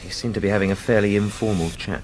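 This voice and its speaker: An actor playing a deferential, gently teasing police sergeant in a radio drama. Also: male voice, lowish voice, steady tone, quick pace, lightly acted. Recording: clearly noisy background.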